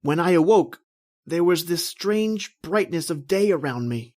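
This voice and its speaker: A woman playing a panicked, bewildered husband lost in a nightmare, with halting, theatrical delivery in an old-style horror radio drama. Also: lowish voice, animated, a little mellow. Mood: confused, breathless dread. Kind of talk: dramatic first-person horror radio narration and acting.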